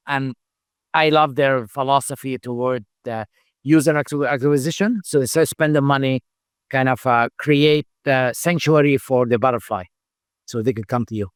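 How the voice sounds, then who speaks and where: A middle-aged man, a company founder, speaking English as a second language in a halting interview answer style, full of fillers and repeated words. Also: medium pitch, clean tone, dry recording, studio quality. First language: not English. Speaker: founder and CEO, podcast guest.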